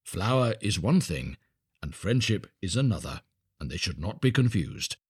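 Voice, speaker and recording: A pompous, condescending miller played by a male reader from a storybook. His pitch swings widely as he moralizes in drawn-out, self-satisfied phrases. The recording is dry and close. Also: sing-song, breathy edge, dry recording, heavily acted. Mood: stern, self-righteous. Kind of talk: storybook character voice.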